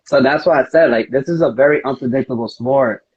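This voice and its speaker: A man's voice: mid-range voice, a little fast, darkish tone, breathy edge. A man speaking casually as a fighter being interviewed, telling things in an earnest, rambling way. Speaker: MMA fighter, interview guest. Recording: dull lo-fi audio.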